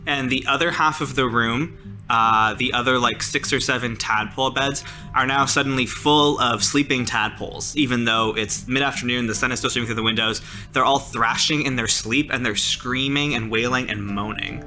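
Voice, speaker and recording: A man's voice: mid-range voice, steady tone, moderate pace, sharp tone. A man improvising horror storytelling in a tabletop game, descriptive and a little hesitant. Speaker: player narrating in a tabletop game. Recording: music behind the voice.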